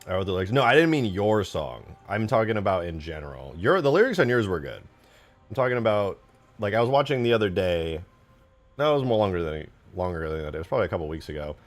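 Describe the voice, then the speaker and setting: A man's voice: low voice, very animated, fast talker. A man chatting casually and informally on a livestream, replying to viewers in a slangy, self-deprecating way. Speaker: streamer, game modder. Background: background music.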